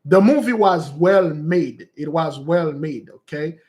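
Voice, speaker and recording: A man speaks in a somewhat high voice, teaching his listeners in a loose, unscripted way. He circles back and repeats himself as he goes. He calls his audience "beloved brothers and sisters" like a preacher. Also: slow pace, a little mellow. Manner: rambling and repetitive, addresses listeners as beloved. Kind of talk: informal teaching monologue.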